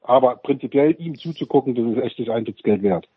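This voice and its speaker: A man with a raspy, somewhat husky voice gushes about players in a loose, rambling way. His voice comes through a thin, phone-like line with no room sound at all. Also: mid-range voice, expressive, fairly quick, dry recording. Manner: enthusiastic, rambling praise with fillers. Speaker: sports panel guest. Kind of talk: casual sports talk.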